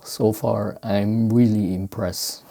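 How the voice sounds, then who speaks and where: A man from the Philippines with a Filipino accent, speaking non-native English in casual, halting unboxing commentary as he searches for words. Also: on the low side, little pitch movement, gravelly, dark tone. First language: Filipino language. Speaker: sneaker unboxing vlogger. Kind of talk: casual unscripted product commentary.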